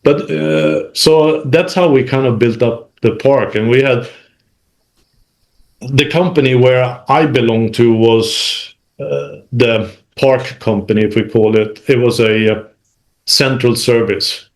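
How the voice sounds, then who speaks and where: A man talks as a guest in an interview. His voice sits at a middling male pitch. He tells his story conversationally, pausing and correcting himself as he goes. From Sweden. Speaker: interview guest, manufacturing executive. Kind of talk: recounting career experience in conversation.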